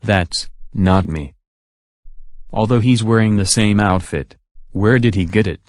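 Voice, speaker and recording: A man voicing a long-suffering, sarcastic puppet in a comic show, with a deadpan delivery. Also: on the low side, a little slow, dry recording, studio quality, natural voice. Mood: deadpan, exasperated.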